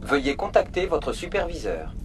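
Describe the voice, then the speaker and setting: A man's voice: a little high, huge pitch swings, moderate pace, over music. A man playing a polite, emotionless virtual assistant program in a video game, speaking formally and procedurally. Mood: impassive, procedural. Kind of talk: French video game dub, formal information readout.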